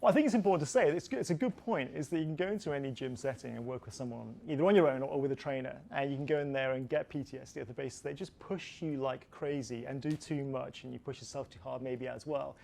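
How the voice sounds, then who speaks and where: A man in his fifties, a personal trainer explaining fitness in an energetic, motivational way. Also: mid-range voice, fast talker, vocal fry, hardly any pauses. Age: 50s. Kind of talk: expert explaining.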